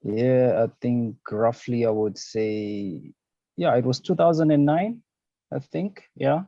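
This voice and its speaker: A man's voice: medium pitch, slow pace, warm tone, no room sound. A young man from Zambia, a fashion designer, answering interview questions in an easygoing way with lots of fillers. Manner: easygoing, many fillers and "you know, man". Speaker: fashion designer, podcast and radio host. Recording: dull lo-fi sound.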